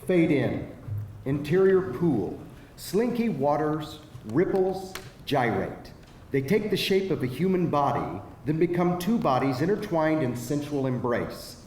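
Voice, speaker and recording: A man's voice: a little high, big pitch swings, measured pace, a little mellow. A man narrating stage directions in a live screenplay reading. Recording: echoey hall, faint background noise.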